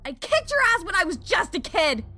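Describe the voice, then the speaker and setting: A fiery young sorceress in a fantasy audio drama. Her voice is high and sharp, leaping in pitch with raw, defiant passion. Music plays behind her. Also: big pitch swings, a little fast, full character voice. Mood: furious defiance. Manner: impassioned, defiant. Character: fiery, hot-tempered young female fire mage.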